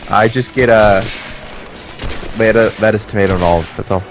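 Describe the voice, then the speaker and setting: A man's voice: fairly low pitch, normal speed, over music. A man chatting casually as co-host of a game stream, rambling and swearing freely. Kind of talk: casual chat over gameplay.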